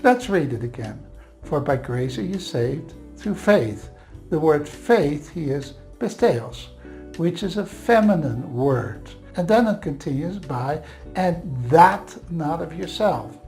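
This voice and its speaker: A man's voice: fairly high pitch, expressive, slow pace, a little mellow, soft background music. Dutch-accented man teaching Bible grammar. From Netherlands. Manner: earnest, explanatory, reassuring asides.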